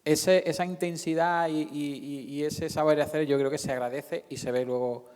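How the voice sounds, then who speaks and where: A man presenting informally at an event, halting as he searches for words. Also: a little high, steady tone, a little mellow, clear, resonant tone. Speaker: radio drama actor and presenter. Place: large indoor hall at a public event. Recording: echoey hall, long reverb.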